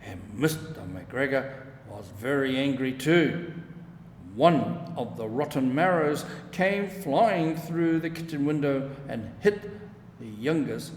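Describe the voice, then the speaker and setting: A man narrating a children's story from a script in a measured, deliberate storytelling way. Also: mid-range voice. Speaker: storybook reader. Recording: echoey room, long reverb, steady background noise.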